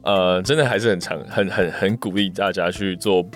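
A man's voice: low-pitched, steady tone, a little fast. A man with a Taiwanese Mandarin accent co-hosting a casual podcast chat. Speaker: podcast co-host. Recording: music playing underneath.

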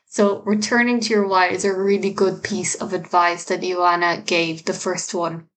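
A woman's voice: on the low side, flat delivery, normal speed, a bit bright, slight background noise, poor audio. A woman from Ireland with an Irish accent, hosting a solo podcast and giving learners earnest, encouraging tips, with frequent restarts and fillers. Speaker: podcast host, language teacher.

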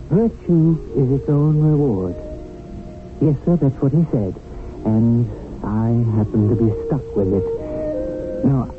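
An actor playing a folksy, wry guardian spirit who chats straight to the listener in a 1970s radio drama. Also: male voice, medium pitch. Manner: chatty, folksy, direct to the listener. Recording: muffled old radio broadcast, loud music.

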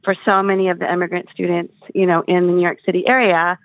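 A woman's voice: fairly low pitch, level tone, fast, no room sound. A woman in her forties, a professor calling in, speaking in a reflective, articulate way. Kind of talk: phone-in testimony. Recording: phone line.

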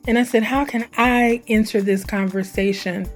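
Hoarse woman hosting a podcast. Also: a little high, soft background music. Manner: animated, conversational, drawn-out emphasis. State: hoarse, scratchy. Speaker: podcast host. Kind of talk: solo podcast intro.

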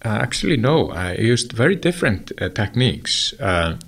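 A man's voice: lowish voice, very animated, moderate pace, creaky, fairly bright tone, slightly rough. A man in his thirties from Iceland with an Icelandic accent, a native Icelandic speaker answering interview questions in English, hesitant with many fillers and restarts. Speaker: interview guest. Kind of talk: casual interview.

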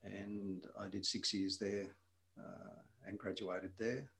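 Gravelly older man, unhurried and thoughtful. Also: lowish voice, level tone, fairly bright tone. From Wales. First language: Welsh. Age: 60s. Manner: unhurried, thoughtful, with frequent ums. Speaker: guest. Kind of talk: casual interview chat. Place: shared room with the host.

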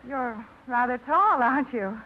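A woman's voice: fairly high pitch, lively intonation, a little slow, slight background noise. An actress playing a shy, hesitant young woman who stumbles over her words, in a 1950s radio drama. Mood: timid. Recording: muffled 1950s radio broadcast.

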